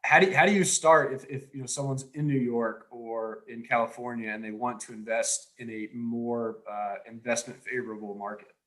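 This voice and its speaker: Co-host: adult man, relaxed and chatty, middle pitch. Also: normal speed, few pauses, poor audio. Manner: relaxed, conversational introductions and questions. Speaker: podcast co-host. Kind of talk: casual podcast conversation.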